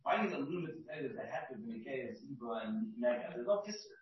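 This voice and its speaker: Student in the back row, fast and lively, roomy and muffled sound. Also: male voice, mid-range voice. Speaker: student asking questions. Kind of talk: questions from the class. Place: classroom. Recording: distant, roomy, muffled classroom recording.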